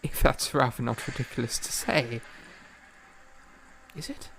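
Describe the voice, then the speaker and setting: Guy acting young composer for an audio drama, creaky voice, earnest n stammery, old-timey formal talk, bit of background noise. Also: medium pitch, lively intonation, a little slow, a bit bright. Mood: earnest, fervent. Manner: stammering, effusive, old-fashioned formal speech.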